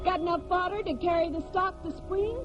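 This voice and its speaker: A woman's voice: extremely high pitch, steady tone, brisk, music in the background. An actress playing an earnest, steadfast young farm woman in a 1940s radio drama. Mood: brisk. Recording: dull 1940s radio broadcast.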